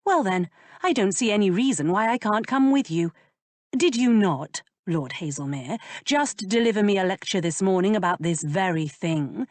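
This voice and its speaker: A woman voicing a quick-tempered, sharp-tongued young lady who speaks in a tart, exasperated way. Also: mid-range voice, animated, no room sound, natural voice. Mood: indignant.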